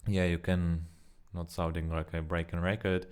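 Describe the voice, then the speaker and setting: A man's voice: low voice, level tone, moderate pace, a little mellow, clear, resonant tone. A young man whose first language is not English, talking casually to camera in a rambling way with many fillers and self-corrections. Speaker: vlogger. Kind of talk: casual spoken practice vlog.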